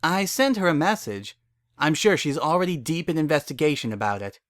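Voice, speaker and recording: A man's voice: fairly high pitch, sing-song, a little fast, dry recording, clean studio sound, pitched above the performer's own voice. A man voicing an anxious, bookish female unicorn in a dramatic story reading, weary and earnest. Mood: tired, matter-of-fact. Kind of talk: dramatic fan fiction reading.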